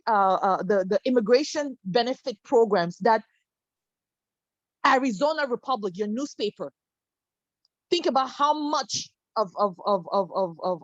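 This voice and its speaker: Woman from Cameroon, panel speaker on a video call; higher voice, passionate, lots of ums and rhetorical questions, lo-fi dry sound. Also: no echo. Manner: impassioned, many fillers and restarts, rhetorical questions.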